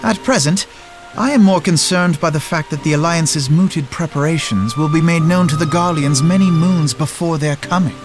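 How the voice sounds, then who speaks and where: A man's voice: fairly high pitch, normal speed, faint music behind, natural voice. A man voicing a wry, eloquent strategist in a fantasy video game, with articulate, formal and sardonic phrasing. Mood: grave, concerned. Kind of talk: dramatic fantasy game cutscene acting.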